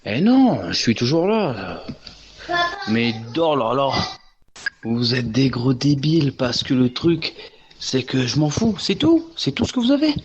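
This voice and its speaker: A man's voice: mid-range voice, very animated, measured pace. A man on the radio channel, speaking in a dismissive, scornful way. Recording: dull lo-fi radio with hiss.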